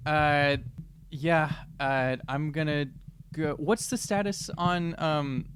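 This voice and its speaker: A man's voice: a little high, fairly even intonation, slow pace, fairly bright tone. A man playing a tabletop game, chatting casually, thinking aloud hesitantly and joking as he goes. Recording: clearly noisy background with clicks.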